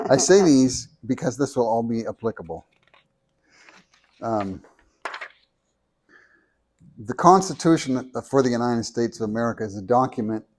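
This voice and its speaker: A soft-spoken man reading a prepared talk into a handheld microphone at a meeting, measured and deliberate, with small restarts. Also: fairly low pitch. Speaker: speaker at a meeting. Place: meeting room with an audience.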